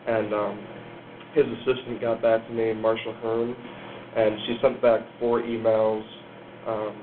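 A young man student reporter, hoarse from losing his voice, reporting haltingly with many pauses. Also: fairly low pitch, narrow, tinny audio, a little hiss or hum.

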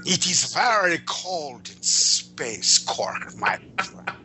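Menacing space villain, played by a man, grandiose drawn-out phrasing, very sharp tone, faint background noise. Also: on the high side, very animated, exaggerated voice. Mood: grand menace. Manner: drawn-out delivery with long dramatic pauses. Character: menacing, theatrical space villain, savoring his revenge.

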